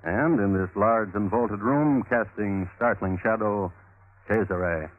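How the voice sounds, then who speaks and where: A man hosting and narrating a scripted 1950s radio crime show in a measured, wry, literary manner. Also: lowish voice. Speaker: host and narrator. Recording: muffled old radio recording with music. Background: music.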